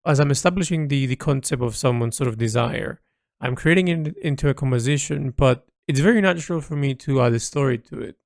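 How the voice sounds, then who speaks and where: Man, mid-pitched, creaky fry, halting reflective delivery, casual solo audio log, close dry recording. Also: no echo. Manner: halting, searching for words, repeats himself.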